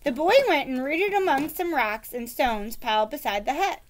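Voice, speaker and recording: High-pitched, animated woman storyteller. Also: normal speed. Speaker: read-aloud storyteller. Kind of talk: expressive children's book narration.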